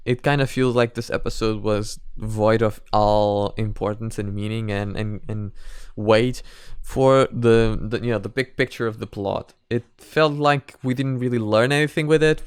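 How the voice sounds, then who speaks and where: A man's voice: mid-range voice, clean tone, no echo, studio quality. A man giving casual, unscripted reaction commentary as a video reviewer, speaking hesitantly, rambling and repeating himself. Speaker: video reviewer reacting to an animated parody series.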